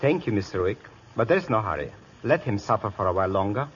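A man's voice: lowish voice, lively intonation, fast talker, a little hiss or hum. Dry sardonic man on old radio. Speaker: guest violinist. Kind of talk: scripted radio banter. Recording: dull old radio broadcast.

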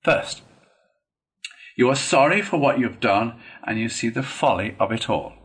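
A man's voice: medium pitch, lively intonation, moderate pace, fairly bright tone, natural voice. A man voicing a stern, solemn older male badger from a storybook, eloquent and admonishing. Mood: solemn, firm. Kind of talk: storybook character reading.

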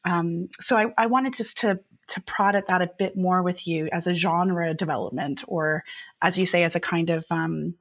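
A woman's voice: on the low side, steady tone, moderate pace. A woman publisher interviewing a guest, circling her questions with hesitations. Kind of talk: conversational interview.

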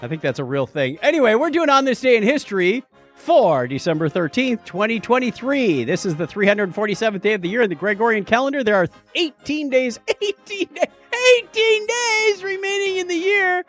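A man with a fairly high, very lively voice co-hosts a casual podcast. He banters playfully and slips in quick joking asides, his pitch moving freely. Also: faint music behind. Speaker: podcast co-host.

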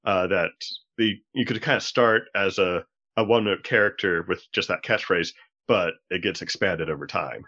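Lowish bright male voice, dull lo-fi audio. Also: moderate pace. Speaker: podcast panelist. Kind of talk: casual analytical discussion.

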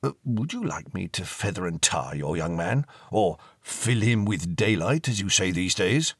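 A man voicing a portly, courtly old male ghost who speaks in a low, hushed and old-fashioned way. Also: expressive, a little slow, husky, no echo, clean studio sound, heavily acted.

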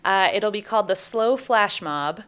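Canadian woman guest, talkative and cheerful, clear resonant voice. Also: mid-range voice, expressive, normal speed, fairly bright tone. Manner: upbeat, talkative, laughs easily. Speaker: guest, taskforce co-lead. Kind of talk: casual podcast chat.

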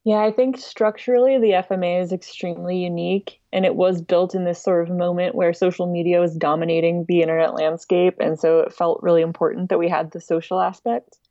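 Dull lo-fi recording, mellow low-voiced woman. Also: steady tone, brisk, hardly any pauses, dry recording. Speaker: podcast guest, music archive director. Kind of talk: explanatory interview talk. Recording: dull lo-fi sound.

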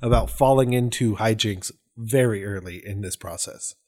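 A man's voice: on the low side, normal speed, darkish tone. Casual male podcast host, effusive and rambling.

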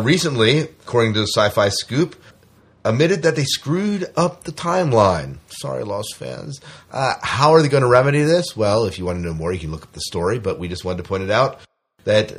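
Male podcast host, lowish and raspy-edged, chatty and informal news delivery. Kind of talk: casual sci-fi news chat.

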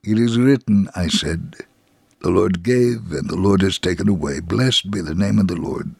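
A man reading a scripted story for radio as narrator, solemn and measured, with a gravelly, husky voice. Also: on the low side, dry recording.

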